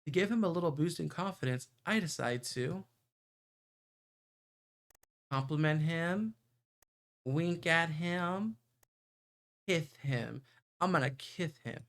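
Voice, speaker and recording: A man with a fairly high voice and plenty of vocal fry reads a story aloud on a livestream. He is playful and chatty, and he trips over the odd word as he goes. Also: expressive, slow, pauses often, a bit bright. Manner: playful, stumbles while reading. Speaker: streamer reading a visual novel aloud. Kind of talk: livestream read-aloud narration with chatty asides.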